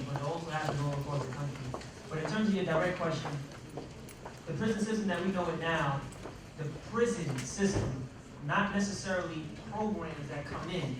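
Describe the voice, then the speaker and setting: Echoey, distant man speaking on a panel. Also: on the high side. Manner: emphatic, pauses mid-sentence, uses rhetorical questions and tag "right?". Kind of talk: panel discussion remarks, unscripted. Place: large indoor room at a college panel event. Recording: echoey, noisy room, distant microphone.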